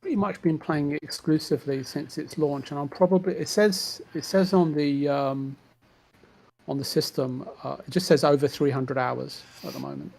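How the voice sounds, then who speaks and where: A man's voice: a little high, fairly even intonation, normal speed, creaky, a little mellow. A man chatting casually about games in a hesitant, roundabout way. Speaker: gamer on a podcast.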